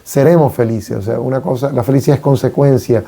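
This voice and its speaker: Gravelly-voiced Venezuelan-born priest. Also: male voice, medium pitch, mellow. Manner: unhurried and reflective, many hesitations. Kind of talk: casual conversation on a radio talk show.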